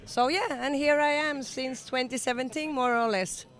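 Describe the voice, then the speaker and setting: A woman's voice: high-pitched, steady tone, moderate pace, a bit bright. A woman in her sixties from Finland, a native Finnish speaker, giving an earnest, enthusiastic interview at an event. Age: 60s. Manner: enthusiastic, earnest, slightly non-native phrasing. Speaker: interview guest. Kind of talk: conversational interview. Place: event summit venue.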